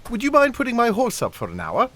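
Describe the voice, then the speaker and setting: An actor playing a fussy, easily affronted young gentleman suitor, supercilious and indignant, in a period audio drama. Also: mid-range voice, huge pitch swings, fast. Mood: haughty.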